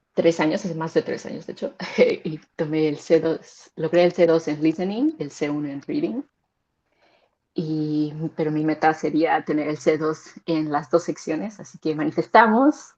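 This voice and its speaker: A woman's voice: low voice, warm tone. A young adult woman giving casual, conversational advice as a guest, often repeating words as she searches for them. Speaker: guest sharing learning experience. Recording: dull lo-fi sound.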